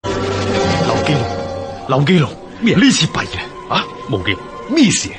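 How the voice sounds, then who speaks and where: An actor with a Hong Kong Cantonese accent playing an urgent, impassioned rebel conspirator in a radio drama, with a husky voice. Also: male voice, a little high, huge pitch swings, slow, fairly bright tone, faint music behind, full character voice. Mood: alarmed, urgent.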